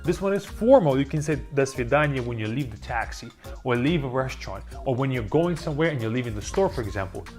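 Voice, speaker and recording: A dubbed voice teaching beginner vocabulary in a slow, scripted lesson style, repeating each word for learners. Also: mid-range voice, expressive, a little mellow. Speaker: language teacher. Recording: auto-dubbed voice with faint music.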